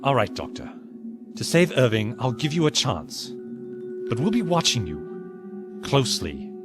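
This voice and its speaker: Man acting Victorian naval officer, blustering, accusatory, lively intonation, music bed, audio drama. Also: mid-range voice, a little slow. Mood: grudging, commanding. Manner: stern, accusatory. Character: suspicious, blustering 19th-century English naval officer.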